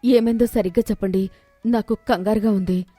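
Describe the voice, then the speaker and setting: A woman voicing a gentle, sensible and patient village housewife who speaks politely in an animated moral story. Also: medium pitch, fairly quick, no echo, faint music behind, lightly acted. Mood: worried.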